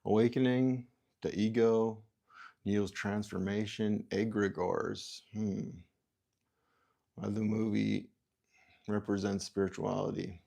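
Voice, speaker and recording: A man from Canada talks on a livestream in a very low voice with a gravelly, creaky edge. He muses aloud in halting, restarting sentences and reads viewer comments between thoughts. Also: flat delivery, mellow. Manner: halting, self-interrupting, musing aloud. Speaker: livestream host. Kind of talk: rambling livestream chat.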